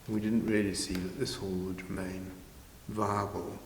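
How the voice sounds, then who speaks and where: Interviewee: older man, very low voice, halting and measured, recorded in a reverberant hall. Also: level tone, vocal fry. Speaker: interviewee, village pantomime organiser. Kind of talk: informal interview answers. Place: large village hall, indoors. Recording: very echoey hall, faint noise.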